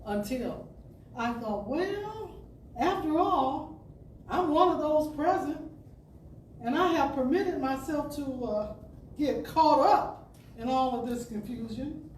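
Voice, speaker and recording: A woman giving an expressive reading of an inspirational talk, like a storyteller, with deliberate phrasing and emphatic pauses. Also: high voice. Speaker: storyteller and speaker at a spiritual center. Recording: distant roomy pickup with background noise.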